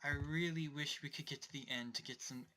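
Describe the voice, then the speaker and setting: A young man casually narrating his game as he plays, rambling and talking to himself, his voice going a little hoarse. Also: fairly high pitch, steady tone, brisk, pushed and bright, slight background noise. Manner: rambling, self-talking, distracted asides. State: voice getting hoarse. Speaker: let's play host. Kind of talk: casual gameplay commentary.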